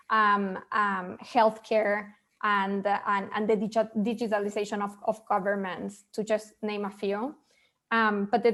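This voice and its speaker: A woman panelist from Argentina speaks in a flat, even tone with little rise and fall. She answers earnestly, stacking fillers and repeating words as she goes. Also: mid-range voice. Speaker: panelist from a children's charity.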